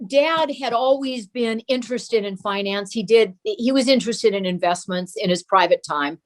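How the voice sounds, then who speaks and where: An older woman speaks as an interview guest, recalling the past. Her voice sits at an ordinary middle pitch, and she explains things through personal anecdotes. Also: moderate pace. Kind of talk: reminiscing in an interview.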